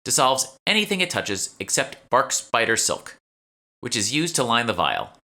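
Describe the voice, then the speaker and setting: Man voicing a high, eager young shopkeeper woman. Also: very animated, normal speed, bright, forceful tone, exaggerated voice. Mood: businesslike. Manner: polite, salesy, effusive. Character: chatty, eager young female magic shopkeeper. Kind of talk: audiobook character dialogue.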